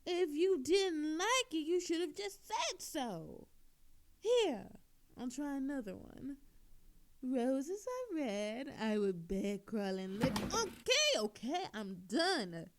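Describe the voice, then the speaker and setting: A cocky, teasing female thief, acted by a woman in an audio drama, with a high voice that swoops widely. She drawls slowly and theatrically, flirting with smug relish. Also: big pitch swings, full character voice. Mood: teasing recital.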